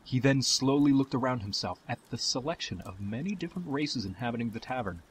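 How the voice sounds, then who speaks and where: A man narrating a scripted fantasy story as the storyteller, with dramatic, deliberate phrasing. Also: mid-range voice, animated, slight background noise, low-quality recording.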